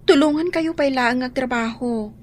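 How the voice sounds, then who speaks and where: A woman's voice: high-pitched, moderate pace, darkish tone. A woman acting an earnest, plaintive young student daughter, worried and self-sacrificing, in a radio drama. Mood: earnest pleading. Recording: faint background noise.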